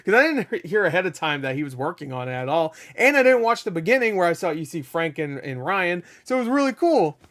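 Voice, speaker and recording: Guy with a higher voice rambling excitedly about games, laughing and swearing, kinda raspy. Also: quick pace, few pauses. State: voice getting raspy. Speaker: gaming video host. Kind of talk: casual unscripted review.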